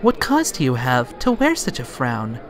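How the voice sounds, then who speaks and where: A man voicing a kind young female pony princess in a storybook parody, gentle and encouraging. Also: medium pitch, huge pitch swings, moderate pace, crowd noise. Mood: concerned.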